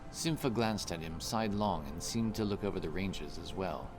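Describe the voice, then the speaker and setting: A man's voice: deep voice, moderate pace, over music. A man narrating a scripted fantasy story.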